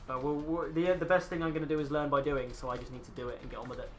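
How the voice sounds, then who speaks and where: A man's voice: a little high, fairly even intonation, very fast, faint music behind. A man in his twenties casually chatting and rambling to viewers while streaming a game. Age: 20s. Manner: rambling, chatty, swears casually, talks to chat. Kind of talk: casual live-stream chat.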